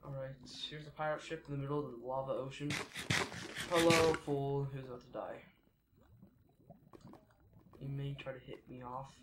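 A man's voice: medium pitch, steady tone, moderate pace, pauses often. A teenage boy giving rambling, chatty commentary while playing a video game, often correcting himself mid-sentence. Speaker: gaming YouTuber. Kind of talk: casual Let's Play commentary. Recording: echoey room with noise behind.

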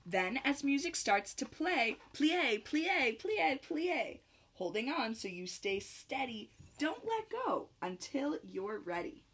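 A young adult woman reading a rhyming picture book aloud to children in an expressive, sing-song manner with clear phrasing. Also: high voice, takes it slowly. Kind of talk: children's read-aloud, rhymed storytelling.

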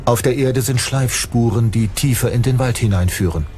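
A man's voice: medium pitch, moderate pace, darkish tone, natural voice. A man reading scripted true-crime documentary narration as the narrator. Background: background music.

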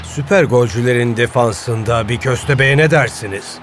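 A man's voice: medium pitch, normal speed, over music, close to an everyday voice. A man voicing a vain, proud moustached henchman with a theatrical manner in a dubbed cartoon. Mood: plotting.

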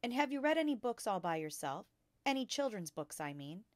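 A woman's voice: medium pitch, sing-song, brisk, no echo, pitched above the performer's own voice, natural voice. Woman reading as a kind young schoolteacher lady, encouraging questions, storybook style, close dry recording. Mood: pleased, curious. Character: kind, gentle young female primary school teacher.

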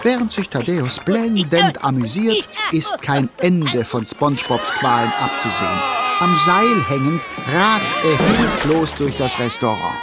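A man's voice: on the high side, very animated, measured pace, very noisy. A man narrating a children's audio drama in a vivid, dramatic storytelling manner.

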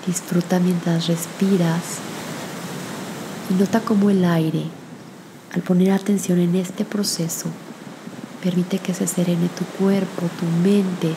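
Slow, low-voiced woman guiding meditation. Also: background noise. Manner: soft, gentle, soothing, with long pauses. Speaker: meditation guide. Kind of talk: guided meditation with spoken prayer.

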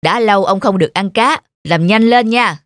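A man's voice: high voice, moderate pace, fairly bright tone, no room sound, clean studio sound. A man playing a greedy, bullying middle-aged village master in a cartoon folk tale, with a scolding, scheming manner. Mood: bossy. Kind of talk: animated folk tale acting. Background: music.

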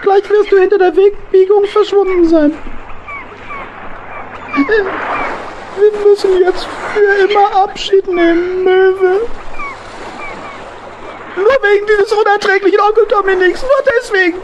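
A woman's voice: very high-pitched, steady tone, takes it slowly, pauses often, slight background noise, low-quality recording. A woman voicing a small, sad male hedgehog in a children's audio play, plaintive and dramatic. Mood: wistful, sad.

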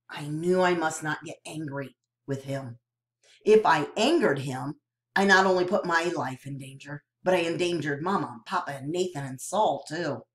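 A woman narrating a novel aloud in the first person, with an expressive storytelling delivery. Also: sits low.

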